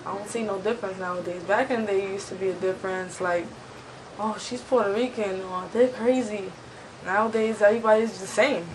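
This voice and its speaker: A woman talks casually and emphatically in an interview, with colloquial phrasing. Her medium-pitched voice sits away from the mic in a strongly echoing space, with faint noise behind it. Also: fairly quick, far from the mic.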